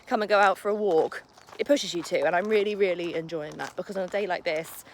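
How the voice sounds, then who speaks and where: A young woman vlogging casually into her phone while out walking her dog in the morning, chatty and upbeat, with lots of fillers and a slightly croaky morning voice. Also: on the low side, quick pace, slight background noise. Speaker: lifestyle vlogger. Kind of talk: casual vlog chat to camera. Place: outdoors on a morning walk. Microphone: phone held while walking.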